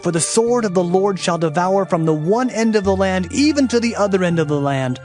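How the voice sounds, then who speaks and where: A man playing a solemn, grieving prophet declaring doom in a dramatised scripture reading, with earnest, deliberate, declamatory delivery. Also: high voice, animated, darkish tone. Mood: mournful warning. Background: music.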